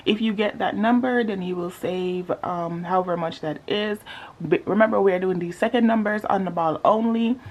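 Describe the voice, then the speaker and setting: A woman's voice: medium pitch, moderate pace, highs cut off. A young woman with a sleepy morning voice, chatting playfully with her viewers while filming a budgeting vlog at home. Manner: playful, animated, talks to viewers as y'all. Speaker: budgeting vlogger.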